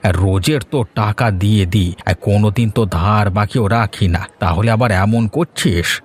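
A man playing a blustering, suspicious market customer in a cartoon, with a Bengali accent, a husky voice and a gruff, scolding manner. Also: fairly low pitch, measured pace. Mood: grumbling. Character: blustering, suspicious Bengali husband shopping for fish. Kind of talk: cartoon voice acting.

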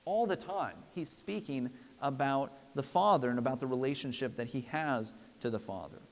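A man's voice: medium pitch, moderate pace. Man preaching in a reverberant hall. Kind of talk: sermon, expository preaching. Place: church sanctuary, at the pulpit. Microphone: lapel mic.

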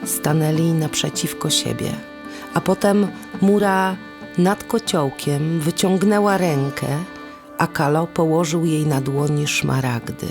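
A woman narrating a folk tale as a storyteller, with measured, deliberate phrasing and instrumental music behind her. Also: low voice, darkish tone. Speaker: storyteller and host of a podcast series.

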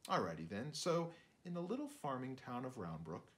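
A man's voice: mid-range voice, sing-song, normal speed, fairly bright tone. A man in his fifties, a children's author, speaking informally and reading storybooks aloud at home in his book-lined studio.

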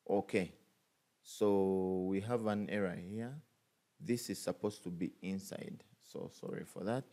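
A man's voice: deep voice, fairly even intonation, measured pace, warm tone. A man teaching a coding tutorial over a screen recording, thinking aloud with many fillers and restarts. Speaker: programming tutor.

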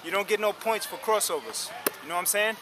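A man in his thirties on an outdoor basketball court, talking straight to the camera in an energetic, slangy, encouraging way while tired from drills. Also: high-pitched, quick pace, background noise. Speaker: basketball vlogger. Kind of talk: casual coaching talk to camera. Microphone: camera mic close up.

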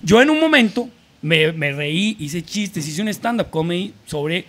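Costa Rican man in his 40s, high voice, animated, slangy podcast storyteller at a table mic. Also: measured pace. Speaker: guest. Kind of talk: casual podcast chat. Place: small room with a mic on a table. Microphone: studio mic with headphones.

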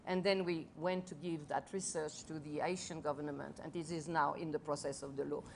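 A woman's voice: lowish voice, darkish tone, slight background noise. A woman in her fifties from France with a French accent, speaking earnestly on a panel under a large tent, often restarting and repeating her words. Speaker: foundation head on a panel. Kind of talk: panel talk to a live audience. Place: large festival tent with audience.

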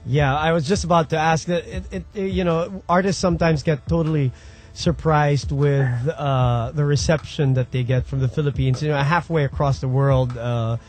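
A man's voice: on the high side, steady tone, normal speed, a little mellow, faint music behind. A man hosting a radio show, interviewing a guest in a conversational way.

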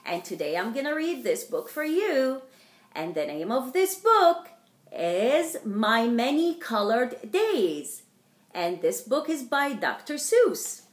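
A middle-aged woman reading a picture book aloud to young children in a theatrical, deliberate way, as a storytime host. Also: sits high, fairly bright tone. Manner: theatrical, slow and deliberate, addressing small children. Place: indoor wood-panelled living room. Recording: roomy, echoing home recording.

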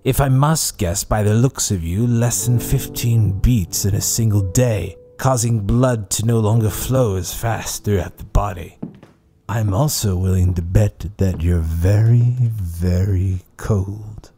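A man's voice: fairly low pitch, measured pace, mellow, faint music behind. A man playing a menacing, smug doctor villain in an audio horror drama, pompous and theatrical. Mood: smug, coaxing.

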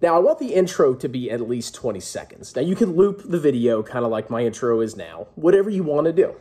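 Casting: male vlog host, thirties, rough-edged husky voice, lively swooping delivery, emphatic joking showman. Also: medium pitch, brisk. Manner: brash, jokey, emphatic showman. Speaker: personal finance vlogger, host. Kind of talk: casual announcement to camera. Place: small indoor room at home.

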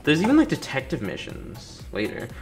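Animated, impatient man gaming. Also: mid-range voice, normal speed. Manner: impatient, sarcastic griping. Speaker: gaming video host. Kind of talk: casual let's play commentary. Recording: faint game music behind.

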